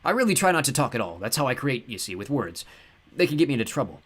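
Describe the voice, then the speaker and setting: A man's voice: mid-range voice, sing-song, quick pace, fairly bright tone. A man voicing a cheerful god who talks in a light, offhand, apologetic way. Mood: breezy. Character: cheerful grinning god in a borrowed farmer's body.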